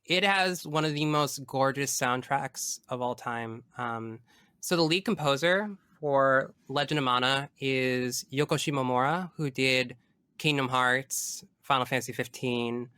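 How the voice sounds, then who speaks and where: A woman's voice: a bass voice, a bit bright, clear, resonant tone, no room sound, clean studio sound. A young woman chatting casually and emphatically as a guest in an interview. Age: young adult. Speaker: podcast guest. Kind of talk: casual interview chat about video games.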